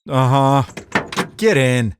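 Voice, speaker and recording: A man's voice: mid-range voice, expressive, slow pace, noisy background. A man playing a hardboiled ex-bootlegger who narrates his caper in an audio drama, terse and wry. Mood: defiant, terse.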